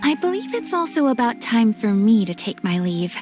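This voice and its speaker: A woman playing a gentle, polite young noblewoman in a video game, with a gracious and formal manner. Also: on the high side, big pitch swings, normal speed, music in the background. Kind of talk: video game character acting.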